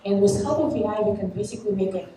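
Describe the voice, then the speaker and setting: A woman's voice: medium pitch, fast talker, dark tone, a little hiss or hum. A young woman from Kazakhstan giving a conference talk, explaining with many fillers, recorded from a distance in an echoey hall. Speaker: presenter.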